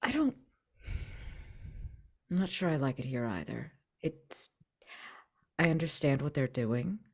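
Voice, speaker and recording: Woman playing a low-voiced, earnest, polite young man. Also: big pitch swings, measured pace, a little mellow, husky, full character voice. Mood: thoughtful, reassuring. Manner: hesitant, thoughtful, with false starts. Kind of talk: in-character tabletop roleplay.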